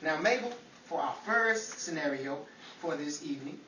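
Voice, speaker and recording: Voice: medium pitch, lively intonation, moderate pace, bright, forceful tone. A host with a folksy, patient announcer's manner, presenting in old-time radio game-show style. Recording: roomy, distant, faint noise.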